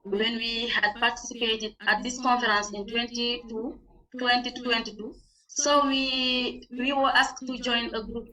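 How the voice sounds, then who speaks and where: A woman with a Senegalese accent speaks English as a second language in a bright, forceful, fairly high voice. She presents haltingly, repeating words as she goes, and sounds a little away from her online meeting mic. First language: not English. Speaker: English teacher, conference panellist. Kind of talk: informal spoken presentation of own experience. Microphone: video call. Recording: distant online meeting microphone.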